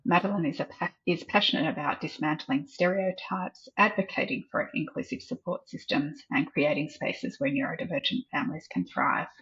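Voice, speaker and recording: Husky-voiced woman hosting a webinar. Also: on the low side, very animated, moderate pace, hardly any pauses. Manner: warm welcoming introductions, asks reflective questions. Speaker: host and community founder. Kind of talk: hosting an online webinar interview. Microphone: video call. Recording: dull lo-fi video call audio.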